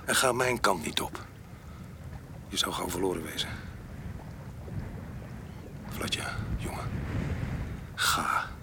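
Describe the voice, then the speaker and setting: An actor playing a rough, weary fugitive murderer in a radio drama, gruff and plain-spoken but fatherly to his comrade. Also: sits low, expressive, slow pace, long pauses, background noise, heavily acted. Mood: urgent, fatherly.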